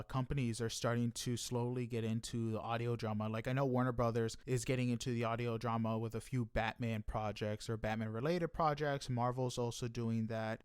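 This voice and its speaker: A man's voice: medium pitch, clean tone, dry recording, studio quality. A man interviewing a guest, asking casual questions in an easygoing, slightly hesitant way. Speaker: interviewer, host.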